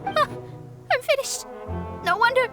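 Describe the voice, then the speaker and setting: A woman's voice: very high-pitched, lively intonation, unhurried. A woman playing a proud, dramatic young astrologer in an anime game, haughty and easily flustered. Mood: shocked, panicked. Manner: haughty, theatrical, flustered hesitations. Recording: game music behind.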